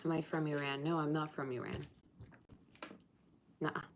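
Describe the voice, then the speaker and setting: Low-voiced chatty woman tarot reader. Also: steady tone, normal speed, slight background noise. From Russia. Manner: chatty, teasing, sings snatches of old songs. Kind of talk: livestream chat and tarot reading.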